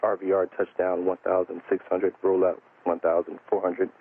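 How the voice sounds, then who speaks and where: A man's voice: low voice, monotone, moderate pace, vocal fry. A man working as a tower air traffic controller, giving clipped, formulaic instructions in a matter-of-fact way over aviation radio. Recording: narrow tinny radio transmission, faint static.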